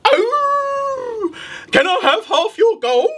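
A storyteller doing a high pleading wolf. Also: very animated, very slow, fairly bright tone, exaggerated voice. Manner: pleading, wide-eyed asking. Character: timid hopeful wolf.

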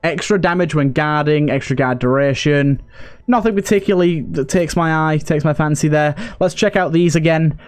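A man's voice: fairly high pitch, moderate pace. A man hosting a gameplay video, thinking aloud in short remarks as he gives casual commentary. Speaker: gameplay host.

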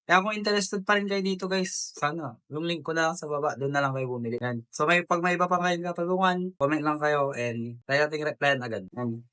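A man with a Filipino accent walks viewers through a tutorial in an informal vlogger style. His voice sits a little high for a man and sounds smooth and clear. He talks casually, as if to friends. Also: a little fast, hardly any pauses, bright, forceful tone. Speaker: vlogger giving a product tutorial.

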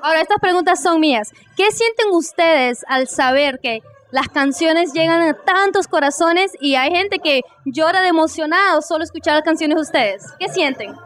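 Very high-pitched woman interviewer. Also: animated, normal speed, fairly bright tone, soft background music. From Peru. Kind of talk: casual event interview. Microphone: handheld interview microphone.

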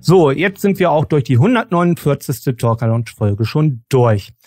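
Higher-pitched man hosting a podcast. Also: expressive, moderate pace, darkish tone. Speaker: podcast host. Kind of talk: casual podcast chat and presenting. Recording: music bed behind voice.

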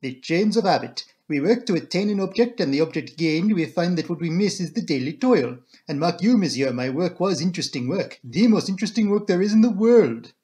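Guy doing a fussy little french-accented gent, pitched up, gushing formal apologies. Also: expressive, brisk, few pauses, dark tone. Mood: earnest. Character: fussy little foreign man, solemn, effusive.

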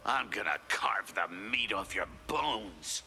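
An actor playing a gleefully menacing masked slasher killer in a video game, gravelly and teasing, drawing out his words. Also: fairly low pitch, animated, sharp tone, faint music behind, full character voice. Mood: gleefully violent. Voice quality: gravelly, breathy at times. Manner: sinister, teasing, drawn-out delivery. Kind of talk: video game villain acting.

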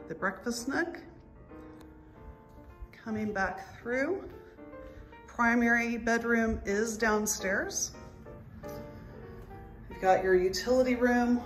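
Unhurried middle-aged woman giving a walk-through. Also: medium pitch. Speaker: real estate agent. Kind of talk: walk-through house tour narration. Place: indoors, empty house. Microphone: handheld phone behind the camera.

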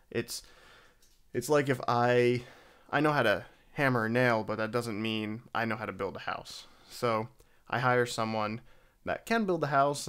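A man narrating a software tutorial in a casual, halting, self-deprecating way. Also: medium pitch, smooth. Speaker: tutorial host. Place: voice-over for a screen recording.

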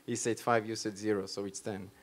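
A man's voice: fairly low pitch, flat delivery, fairly quick. A man in his thirties with an Israeli accent, giving a live conference presentation in emphatic, short, repeated phrases into a handheld microphone. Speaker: conference speaker on startups and innovation. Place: stage at a tech conference.

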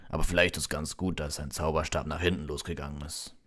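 Guy playing a big friendly groundskeeper in a storybook, really low voice, folksy n teasing. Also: little pitch movement, quick pace, a bit bright.

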